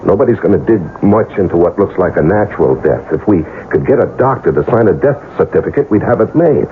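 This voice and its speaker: Radio drama, 1980s: reluctant male conspirator, deep register, wary and argumentative, narrow-band broadcast sound. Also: lively intonation, fast. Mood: firm, reasoning. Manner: cautious, argumentative, exasperated. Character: wary, skeptical male lover reluctantly plotting a murder. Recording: dull, noisy old radio broadcast recording.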